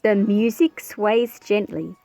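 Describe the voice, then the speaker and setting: A woman reading a picture book aloud as an expressive, measured storyteller. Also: mid-range voice, vocal fry. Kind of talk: scripted storybook read-aloud narration. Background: background music.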